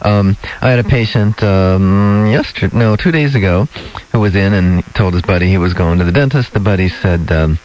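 A soft-spoken man, a dentist hosting a casual interview podcast, talking gently with many ums and restarts. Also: low voice, moderate pace. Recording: lo-fi with faint noise.

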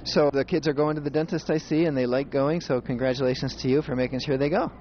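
A man's voice: mid-range voice, steady tone, quick pace, highs cut off, slight background noise. A man hosting a casual roadshow interview as a dentist, chatty and repeating answers back. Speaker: host and dentist.

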